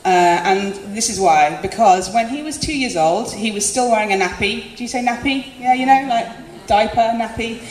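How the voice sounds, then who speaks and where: A woman's voice: a little high, moderate pace. Chatty woman performing on stage. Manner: chatty and self-deprecating, laughs often. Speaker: spoken word performer. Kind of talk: stand-up style spoken word performance. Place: live concert venue. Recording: echoey hall with faint room noise.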